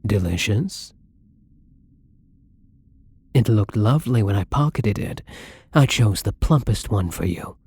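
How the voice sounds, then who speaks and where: A man playing a gentle, lonely, tormented vampire in an intimate ASMR roleplay, speaking softly and hesitantly in a breathy, brooding way, often near a whisper. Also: lowish voice, expressive, dry recording, studio quality, exaggerated voice. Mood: tender, wistful. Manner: slow, hesitant, brooding, tender. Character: melancholy young male vampire, gentle, lonely, tormented, once human.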